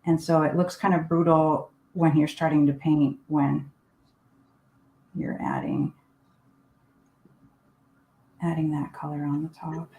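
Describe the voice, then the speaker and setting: Woman from finland chatting thru a painting demo at her desk, kinda low voice, echoey room. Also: flat delivery, takes it slowly, long pauses, warm tone, slight background noise. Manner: casual, chatty, thinking aloud while painting. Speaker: art teacher. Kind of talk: live painting demonstration. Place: home desk. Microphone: live online class setup. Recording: roomy echo.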